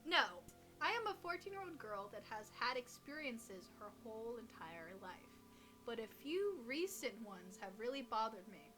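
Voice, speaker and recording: A young woman with a fairly high voice reads creepy stories in a relaxed, chatty way. She co-hosts and drops joking comments into what she reads. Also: normal speed, soft background music. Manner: joking, interjecting asides while reading. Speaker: co-host reading listener stories. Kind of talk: casual chat and reading aloud creepy stories.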